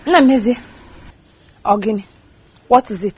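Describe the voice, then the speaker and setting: A woman playing a bitter young woman jilted by her love, shouting and sobbing. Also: a little high, measured pace, breathy edge, dull audio. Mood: irritable.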